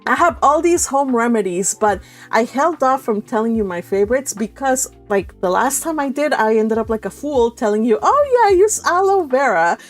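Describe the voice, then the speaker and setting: A woman hosting a casual livestream, chatting in an animated, teasing way. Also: fairly high pitch, normal speed, few pauses. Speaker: host of a live read-along stream. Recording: music behind the voice. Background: music.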